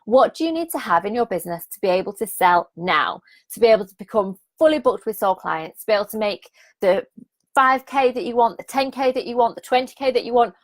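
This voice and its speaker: A woman in her thirties, a bit croaky, hosting a live-streamed business coaching challenge, enthusiastic and repetitive, asking viewers to comment and laughing often. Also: fairly high pitch, lively intonation, fairly quick.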